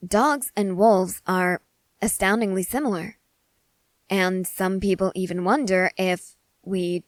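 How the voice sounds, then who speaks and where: A woman's voice: on the low side, no room sound, close to an everyday voice. A woman playing an eager, intensely curious researcher-soldier who rambles in precise, analytical sentences in a scripted ASMR roleplay. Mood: musing, curious.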